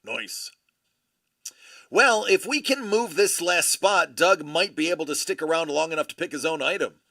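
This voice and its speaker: A man's voice: on the high side, lively intonation, moderate pace, vocal fry, pushed and bright, no room sound, studio quality. A man hosting a live card break stream, chatting casually and telling rambling, joking stories. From Buffalo area, USA.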